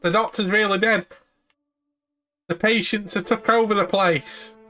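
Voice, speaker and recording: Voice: medium pitch, fairly even intonation, unhurried, bright, forceful tone. Chatty streamer commenting on a game. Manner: chatty, thinking aloud, sweary. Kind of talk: casual live game commentary.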